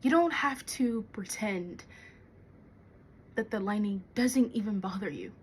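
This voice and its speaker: A woman performing a theatrical monologue as an anxious, fearful young woman, confiding haltingly with dramatic pauses. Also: mid-range voice, husky. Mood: subdued, pleading. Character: anxious young woman confessing a terror of thunderstorms.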